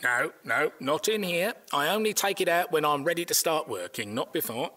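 A man's voice: fairly high pitch, expressive, normal speed, sharp tone. An actor playing a nervy, irritable older man, hesitant and grumbling, in an audio comedy drama. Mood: flustered, evasive.

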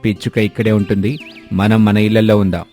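A man's voice: fairly low pitch, flat delivery, fairly quick, mellow, soft background music, lightly acted. A man voicing a commanding, stern eagle who leads the birds in a children's cartoon, in an authoritative, announcing way. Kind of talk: children's cartoon story voice acting.

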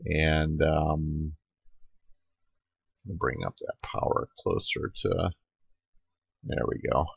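A man's voice: a bass voice, gravelly, slightly rough, no echo. A man narrating an informal screen-capture tutorial as an amateur radio operator, recorded close on a dull computer microphone.

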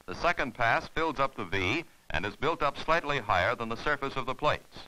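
A man's voice: medium pitch, animated, moderate pace, sharp tone, poor audio. A man narrating an instructional film in a formal, scripted, step-by-step way.

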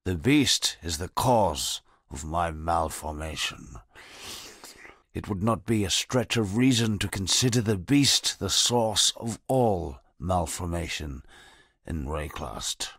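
A bitter, disfigured convict speaks in a very deep, gravelly, husky voice, slow and brooding. His wary, sardonic lines fall dry and close, recorded in a studio for a game. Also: animated, a bit bright, dry recording, full character voice. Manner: low, brooding and haunted, sardonic.

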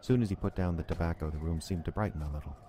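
A man's voice: deep voice, rapid-fire, natural voice. An actor playing an uneasy working man who narrates his own snowbound horror story in a dramatic, brooding way. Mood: hushed unease. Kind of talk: first-person radio horror narration. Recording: noisy storm ambience under the voice.

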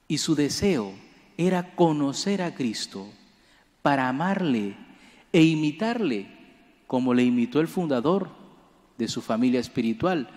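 A young man, a priest, preaching a homily at a lectern microphone in a church, earnest and measured, rising for emphasis. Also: medium pitch, a little mellow. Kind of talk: homily at Mass. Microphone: lectern gooseneck mic. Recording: long church reverb.

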